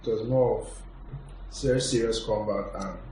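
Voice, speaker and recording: A man's voice: lowish voice, fairly even intonation, normal speed, dark tone. A man giving his opinions as a panelist in a casual review discussion. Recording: very echoey room, distant mic, faint noise.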